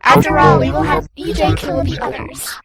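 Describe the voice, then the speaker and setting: A performer voicing a faceless, telepathic monster leader, commanding and patronising, in a breathy, gravelly whisper. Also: normal speed, lightly acted. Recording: loud music over the voice.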